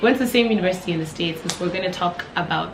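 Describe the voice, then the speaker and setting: Hoarse young woman, chatty co-host. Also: lowish voice, rapid-fire, echoey room, background noise. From Nigeria. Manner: chatty, laughing, leads the talk. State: hoarse, voice worn out. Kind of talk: casual sit-down chat.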